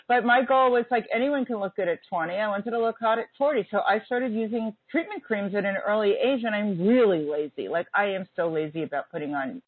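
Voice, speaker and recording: A woman in her forties hosts a casual conversation, talking with chatty emphasis at a middle pitch. Her voice comes through dry and narrow, like a phone line. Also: fairly quick, hardly any pauses, breathy edge, no room sound. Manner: chatty, emphatic, laughs often. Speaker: host. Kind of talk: casual chat.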